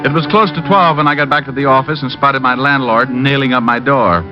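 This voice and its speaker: An actor playing an unflappable private detective in an old radio show, wry and deadpan. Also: male voice, medium pitch, a little fast, natural voice. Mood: wry narration. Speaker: lead and narrator. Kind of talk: 1940s radio detective narration and acting. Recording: muffled old radio recording. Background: music.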